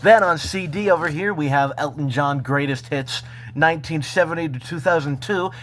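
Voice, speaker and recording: A man talking casually and unscripted as he shows off a personal collection, halting between phrases. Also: fairly high pitch, fairly bright tone, a little hiss or hum. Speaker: vlogger showing a personal collection. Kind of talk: casual unscripted show-and-tell.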